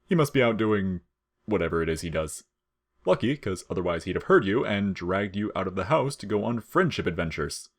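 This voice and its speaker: A man's voice: deep voice, sing-song, brisk, husky, exaggerated voice. A man acts out a slacker skeleton in a storybook reading of a game tale. He is laid-back and wisecracking, tossing out casual slang. His teasing is dry and unbothered. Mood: dry, joking.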